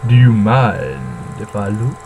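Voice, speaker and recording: A performer voicing a polite, smugly charming vampire teenage boy with slow, drawn-out delivery in a mock dramatic novel reading. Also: mid-range voice, sing-song, darkish tone, a little hiss or hum. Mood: suave, polite.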